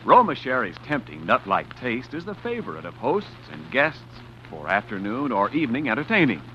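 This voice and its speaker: A man's voice: mid-range voice, wildly swooping pitch, normal speed, sharp tone, slight background noise. A man announcing sponsor messages on a 1940s radio show in a polished, salesman-like way. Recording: dull old radio broadcast recording.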